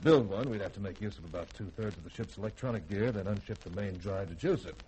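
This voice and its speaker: An actor playing a firm, dutiful military spaceship commander in a 1950s radio drama, clipped and authoritative. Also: male voice, on the low side, fast talker, warm tone, lightly acted. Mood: businesslike. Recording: noisy vintage radio recording.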